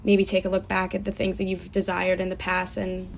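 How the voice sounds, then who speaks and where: A woman's voice: on the low side, monotone, a little fast, creaky, low-quality recording. A woman speaking as an interview guest, chatting in a reflective, informal way. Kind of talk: casual chat. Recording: faint background noise.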